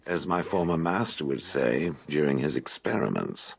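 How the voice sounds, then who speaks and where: An actor playing an aloof, sardonic stone golem in a fantasy game, with a deep gravelly voice and deadpan, haughty phrasing. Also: male voice, normal speed, dry recording, heavily acted.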